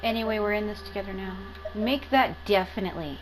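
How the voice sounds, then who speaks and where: A woman giving casual, expressive, exclamatory gameplay commentary with plenty of swearing. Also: medium pitch, brisk, noisy background. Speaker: gaming streamer. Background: game music.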